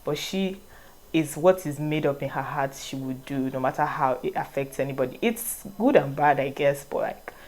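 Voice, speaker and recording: Low-voiced Nigerian woman vlogger. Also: moderate pace, poor audio. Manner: reads questions aloud, then answers in a relaxed, rambling way. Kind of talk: casual Q&A vlog.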